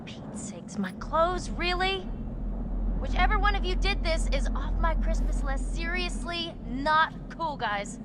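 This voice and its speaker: An actress playing a sassy, expressive young woman trapped at a spooky lodge, in a naturalistic game cutscene. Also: extremely high pitch, measured pace, background noise. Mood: annoyed. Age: young adult. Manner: sarcastic, expressive.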